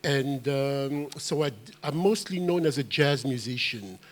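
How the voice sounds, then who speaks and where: A man who grew up in France and Belgium, a musician on a panel, telling his story in an earnest, animated way with halting restarts. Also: medium pitch.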